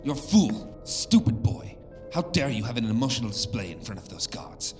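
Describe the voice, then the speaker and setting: A man's voice: mid-range voice, big pitch swings, normal speed, faint music behind, lightly acted. An actor playing a harsh, domineering royal father in an audio drama, stern, scolding and full of imperious contempt. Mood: angry, scornful.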